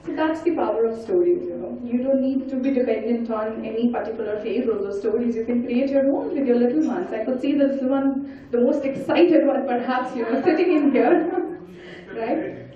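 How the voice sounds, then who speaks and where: A woman giving an interactive storytelling talk, animated and coaxing as she puts questions and instructions to her listeners. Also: a little high, moderate pace, slight background noise. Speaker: storyteller and speaker leading an audience activity. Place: large indoor event hall. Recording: very echoey hall, distant mic, dull sound.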